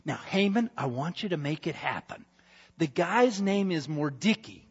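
A man voicing a vain, forgetful, self-important ancient king who booms and rushes his words. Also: expressive, slightly rough. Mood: commanding. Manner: booming, impatient, self-important. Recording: dull reverberant hall sound.

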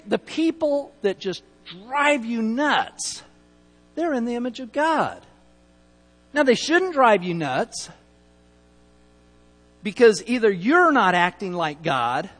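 A middle-aged man preaches with a light Texas accent and a fairly high voice. He leans hard on key words, stumbles into repeats and drops folksy asides. His voice rings out with the long reverb of a large sanctuary. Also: a bit bright. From Utah. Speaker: pastor. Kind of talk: sermon.

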